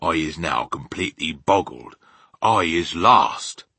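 Bloke doing a big simple kindly giant, storybook style, raspy n drawly, voice swoops about. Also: male voice, extremely low pitch, animated, bright, forceful tone, no room sound, full character voice. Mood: boggled. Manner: mangled homely grammar, slow emphatic drawl. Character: huge kindly male giant, simple, earnest, boastful. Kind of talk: storybook character voice.

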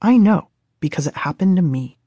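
A woman's voice: fairly low pitch, expressive, normal speed, warm tone, slightly rough, no room sound. An American woman in her thirties from Southern California, reading her own book aloud as scripted audiobook narration in a studio. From Southern California, USA. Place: dry recording studio.

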